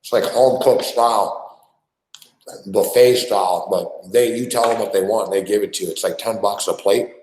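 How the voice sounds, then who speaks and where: A man hosting a sports betting show, chatting casually and loudly with plenty of swearing while he eats on air. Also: on the low side, moderate pace. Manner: loud, profane, rambling banter. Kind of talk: casual live-stream chat while eating. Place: small studio. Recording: echoey room.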